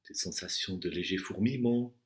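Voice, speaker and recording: A man teaching meditation, guiding a deep relaxation with slow, deliberate instructions and long pauses. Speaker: yoga nidra and meditation teacher. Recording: echoey room sound.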